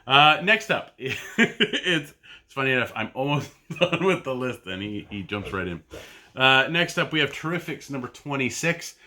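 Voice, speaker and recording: A man in his thirties talks casually about his comic pull list, wandering from thought to thought with plenty of ums and restarts. His voice has a bright, forward edge, and the setting sounds like a relaxed home recording. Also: medium pitch, normal speed. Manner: rambling and chatty, with many fillers and restarts. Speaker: comic book podcast host. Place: home basement.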